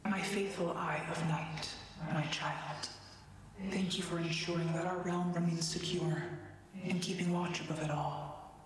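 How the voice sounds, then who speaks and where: Woman as low, deeply echoing night goddess. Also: steady tone, measured pace, lightly acted. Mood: serene, reassuring. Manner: stately, formal, reassuring. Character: serene, majestic night goddess, reassuring mother. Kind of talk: video game dramatic acting. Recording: very echoey space with faint ambient noise.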